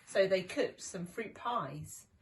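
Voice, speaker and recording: Woman, children's story narrator, dramatic rhythmic reading, slow pace, very lively intonation. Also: on the low side. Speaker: deputy headteacher reading a story.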